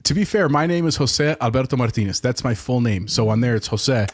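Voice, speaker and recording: A man's voice: mid-range voice, animated, brisk. A man co-hosting a casual podcast, telling a story in a wry, earnest way. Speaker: podcast co-host.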